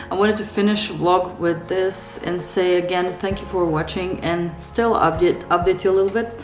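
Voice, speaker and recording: Woman in her thirties, Serbian native speaker, low voice, hesitant musing vlog delivery, roomy studio sound. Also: fairly even intonation, a little slow, faint music behind. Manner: reflective, hesitant, many fillers, laughs softly. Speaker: illustrator vlogger. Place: studio room indoors.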